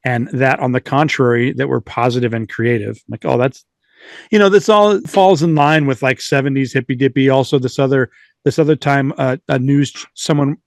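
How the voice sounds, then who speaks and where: A man's voice: medium pitch, steady tone, moderate pace, gravelly, no echo. Casual man in a dry, close recording. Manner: informal, digressive, lightly sweary. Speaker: podcast host. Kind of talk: casual chat, retelling and reading out accounts.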